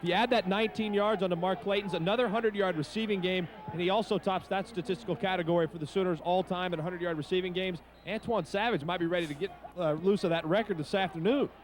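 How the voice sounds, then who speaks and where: A man's voice: high-pitched, fast, few pauses. An American man giving a live sports radio report as a sideline reporter. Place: outdoors at stadium sideline.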